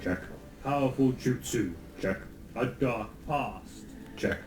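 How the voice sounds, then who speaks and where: A man voicing the fussy, deadpan leader of a villainous ninja group in a cartoon parody, officious and sarcastic. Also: medium pitch, slow, music in the background, natural voice. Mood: officious, sardonic.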